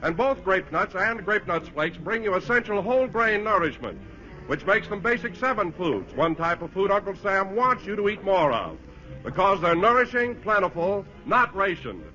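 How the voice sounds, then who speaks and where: Old radio announcer guy, punchy sales delivery, high bright voice, muffled recording, faint music. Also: big pitch swings, normal speed. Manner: emphatic, salesmanlike. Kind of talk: 1940s radio advertising announcing.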